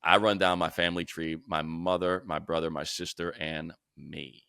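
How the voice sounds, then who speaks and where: Casting: male sports radio co-host, low creaky voice, casual storyteller who acts out both sides of conversations. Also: steady tone, normal speed, pushed and bright, dry recording, clean studio sound. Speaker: sports talk show co-host; radio play-by-play announcer.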